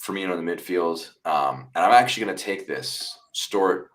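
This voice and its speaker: A man's voice: deep voice, animated, fairly quick. A man hosting a live football fan stream, upbeat and reading out viewer comments. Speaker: stream host.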